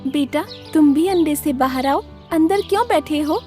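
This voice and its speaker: A woman's voice: sits high, moderate pace, a little mellow, faint music behind, pitched above the performer's own voice, lightly acted. A woman voicing a kind, selfless mother bird in a cartoon story, tender and motherly.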